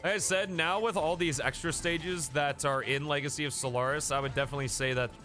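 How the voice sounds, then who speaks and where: A man's voice: a little high, steady tone, a little fast, bright, forceful tone, faint music behind. Sniffly man casually streaming a game. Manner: casual, chatty, thanks viewers by name. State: sniffly with a coming cold. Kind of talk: live game commentary.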